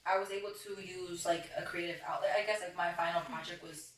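A woman's voice: on the low side, fairly even intonation, fairly quick, roomy sound, far from the mic, rough recording. A young woman whose first language is Spanish, guesting on a casual podcast and chatting in a rambling, self-doubting way with many fillers. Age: young adult.

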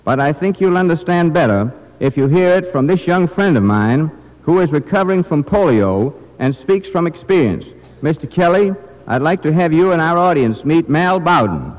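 A man's voice: on the high side, lively intonation, normal speed, a little mellow, long reverb tail, low-quality recording. A man giving a formal, oratorical welcome speech as a mayor on an old radio broadcast. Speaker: mayor, special guest.

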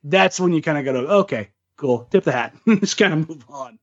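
Higher-voiced man chatting sports, slightly dull audio. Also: lively intonation, normal speed. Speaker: sports podcast co-host. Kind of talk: casual basketball chat.

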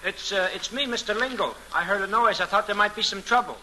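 A man's voice: high voice, fast talker, bright, forceful tone, low-quality recording. An actor playing a timid, overly helpful, hesitant male neighbor in an old radio mystery. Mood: meek. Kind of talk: 1940s radio acting.

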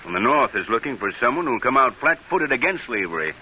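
A man's voice: medium pitch, sing-song, fast talker, sharp tone, poor audio. A man playing a blunt, folksy American political figure in a 1940s radio drama, with an American accent. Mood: exasperated, urging. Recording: hissy old radio recording.

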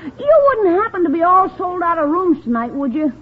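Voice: very high-pitched, very animated, moderate pace. A performer playing a cheeky, wisecracking young American nephew in an old-time radio comedy. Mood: hopeful. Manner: cheeky, wry. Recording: tinny, noisy old radio recording.

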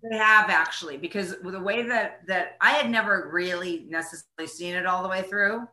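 A woman's voice: on the low side, a little fast, bright, forceful tone. A woman chatting casually as an interview guest, with an emphatic, talkative way of speaking. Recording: roomy, echoing sound.

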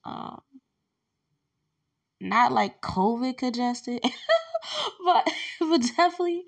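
A woman's voice: sits high, huge pitch swings, slow, vocal fry. A young woman hosting her own podcast, chatting candidly from home while congested with allergies and lying down, with loose fillers and laughs. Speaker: podcast host. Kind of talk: casual solo podcast chat.

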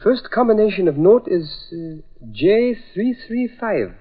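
A phone-like vintage radio recording of a devoted, sharp-witted sidekick. His voice is high and swoops in pitch. He speaks deferential broken English, in old radio serial style. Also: sing-song, measured pace, exaggerated voice. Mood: thoughtful, deferential. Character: loyal, clever Filipino valet and sidekick. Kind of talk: 1940s radio drama acting.

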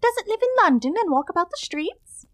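Excitable young party guest, female actor, very high and animated, audio drama. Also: brisk, dry recording. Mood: gleeful excitement. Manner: excited, exclamatory. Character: excitable young lady at a Christmas parlour game.

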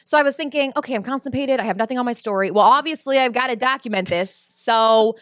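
Playful young woman, bright voice, bone-dry sound. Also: a little high, fast talker, no room sound. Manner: playful, jokey storytelling. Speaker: podcast co-host. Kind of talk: casual comedy podcast chat.

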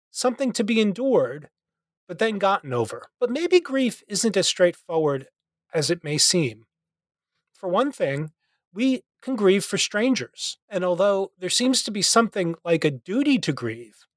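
A man's voice: fairly high pitch, dry recording. Man hosting a podcast interview. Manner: scripted intro, then relaxed chat. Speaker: podcast host. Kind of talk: interview host.

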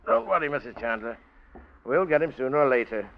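A dry, laconic police lieutenant. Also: male voice, medium pitch, animated, fairly quick, gravelly. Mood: matter-of-fact. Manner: dry, casual, matter-of-fact. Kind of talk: 1940s radio acting. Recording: muffled old radio broadcast, faint hiss.

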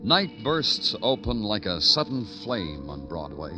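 A very low male voice as a stern, hardboiled big-city cop in a vintage radio crime drama. He is grave and world-weary, with dramatic, expressive rises and falls and music in the recording. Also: measured pace. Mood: brooding, poetic. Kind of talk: 1940s-50s radio noir drama acting and narration.

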